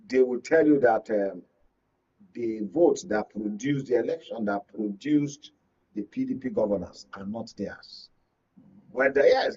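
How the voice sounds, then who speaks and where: A man with a Nigerian accent speaking as a media analyst in a live political discussion, phrasing his points deliberately and with emphasis. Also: mid-range voice, a little mellow, breathy edge, lo-fi sound. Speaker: media analyst, guest pundit.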